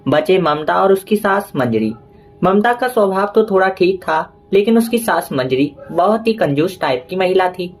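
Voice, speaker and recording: A woman serves as narrator of a scripted tale. Her pitch is on the low side for a woman, and she delivers the lines as storytelling narration. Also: animated, moderate pace, husky.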